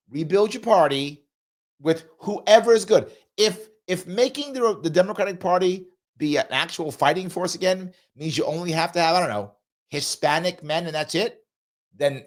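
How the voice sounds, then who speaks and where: A man hosting a political talk show, reading out viewer comments and answering them bluntly, repeating his points, with a cough he is getting over. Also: a little high. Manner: blunt, emphatic, repeats himself for emphasis. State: lingering cough.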